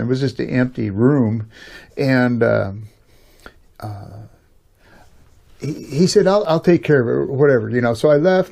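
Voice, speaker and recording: An older American man telling a personal story casually in a rambling, halting, chuckling way, as host of a storytelling channel. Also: medium pitch, vocal fry, a little mellow.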